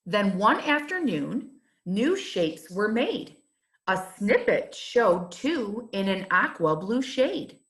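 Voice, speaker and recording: A woman reading a rhyming children's storybook aloud, in a measured and expressive teacher's manner. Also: mid-range voice.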